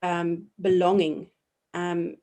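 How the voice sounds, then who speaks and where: A woman in her forties from South Africa, a professor giving measured, reflective answers in an interview, often pausing with ums and restarting her sentences. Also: fairly low pitch, flat delivery, dark tone. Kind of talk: thoughtful academic interview answers.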